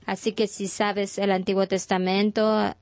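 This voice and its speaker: A woman's voice: medium pitch, level tone, a little slow, a little mellow, dry recording. A woman delivering a sermon in Spanish translation, talking in a conversational storytelling way with frequent restarts.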